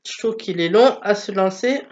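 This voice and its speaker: A woman's voice: medium pitch, normal speed, a little mellow, muffled recording. A woman with a stuffy nose from a cold, chatting casually about her book haul with many hesitations. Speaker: book vlogger.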